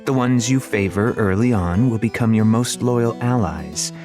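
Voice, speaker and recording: A male audiobook narrator plays a weary queen mother. The delivery is shrewd, matter-of-fact and instructing, as from a parent coaching an heir. Music sits clearly behind the voice. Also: lowish voice, moderate pace, natural voice. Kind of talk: audiobook character voice.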